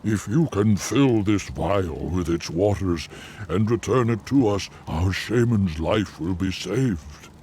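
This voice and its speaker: A humble bear-folk tribesman speaks slowly in a low, mellow voice. He is formal and earnest, pleading like a respectful villager in a fantasy game cutscene. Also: animated, slight background noise. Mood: worried pleading. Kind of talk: video game cutscene acting.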